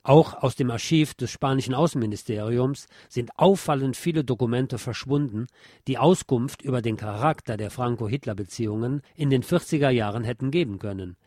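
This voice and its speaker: A man's voice: mid-range voice, fairly quick, a little mellow, no room sound. Formal male narrator, dry close recording. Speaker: podcast host reading from a history book. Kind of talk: scripted narration, reading a nonfiction text aloud.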